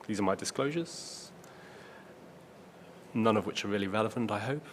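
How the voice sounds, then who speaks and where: A man's voice: fairly low pitch, moderate pace, slight background noise. A middle-aged man giving an informal keynote talk with dry, understated humour and a hesitant, wry delivery. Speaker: keynote speaker, medical oncologist. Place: large conference hall. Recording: echoey hall with long reverb.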